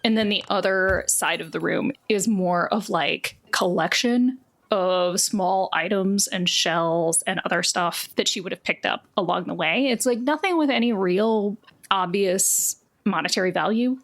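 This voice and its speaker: Woman, game master, medium-pitched, improvised scene narration, conversational, casual asides. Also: measured pace.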